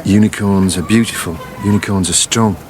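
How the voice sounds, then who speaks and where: An actor playing a patient psychologist who draws out buried memories, speaking slowly and calmly in a coaxing, hypnotic way. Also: male voice, on the low side, background noise, close to an everyday voice. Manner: calm, slow, coaxing, hypnotic questioning. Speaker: memory recall specialist. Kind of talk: radio drama acting. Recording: music playing under the voice.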